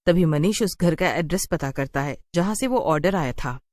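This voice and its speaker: A woman's voice: deep voice, expressive, normal speed, a little mellow, no echo, studio quality. A woman reading a scripted horror story as its narrator.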